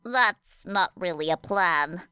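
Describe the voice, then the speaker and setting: A woman voicing a calm, frank, pensive teenage girl in an audiobook, matter-of-fact in manner. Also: lowish voice, slow, smooth, dry recording, clean studio sound, heavily acted. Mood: skeptical.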